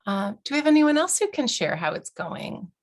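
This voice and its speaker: A woman's voice: high-pitched, sing-song, moderate pace. A woman hosting a quilting workshop on a video call, encouraging and reassuring, speaking thoughtfully. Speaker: host and quilt teacher.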